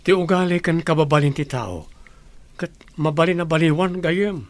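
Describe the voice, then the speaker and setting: A man's voice: a little high, moderate pace, no echo, natural voice. A man playing a smitten young village suitor in radio drama, with an Ilocano accent. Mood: earnest.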